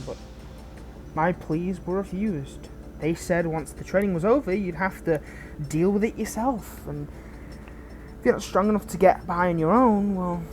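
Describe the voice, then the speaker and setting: A woman playing a protective, bitter but caring fallen hero in an audio roleplay, speaking intimately and earnestly with halting, dramatic pauses. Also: lowish voice, a little mellow, natural voice. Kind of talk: scripted audio roleplay addressed to the listener.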